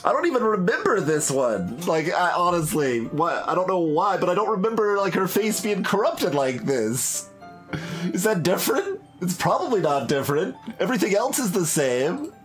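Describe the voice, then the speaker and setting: A man's voice: sits high, big pitch swings, normal speed, soft background music. A man giving casual, rambling playthrough commentary as a video game streamer, musing aloud as he goes.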